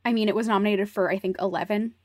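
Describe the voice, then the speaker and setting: A woman's voice: medium pitch, steady tone, rapid-fire, dry recording. A woman co-hosting a podcast in casual, conversational discussion. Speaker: podcast co-host.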